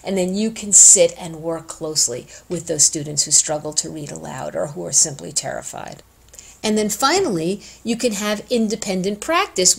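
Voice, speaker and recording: A woman's voice: fairly low pitch, darkish tone. Conversational woman instructor with emphatic delivery. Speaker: education instructor addressing student teachers. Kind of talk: informal instructional talk.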